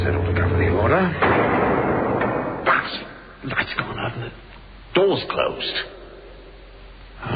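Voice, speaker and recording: An actor with a British accent playing a grumbling trapped man in a radio drama, muttering fussily and haltingly to himself. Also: deep voice. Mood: businesslike, then irritated. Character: grumbling man trapped alone in a cold store. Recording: muffled, noisy old radio drama recording.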